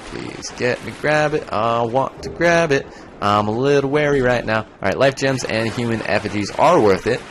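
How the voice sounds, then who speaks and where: A man's voice: mid-range voice, normal speed, noisy background. A young man streaming a video game, chatting casually, rambling and joking as he plays. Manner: rambling, joking, breaks into goofy voices. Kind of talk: casual gaming commentary.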